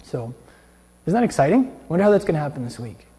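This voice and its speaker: A man's voice: on the high side, very animated, quick pace, warm tone, slightly rough. A man giving an informal, conversational sermon in a colloquial, earnest way, often checking in with listeners. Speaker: preacher. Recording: long hall reverb.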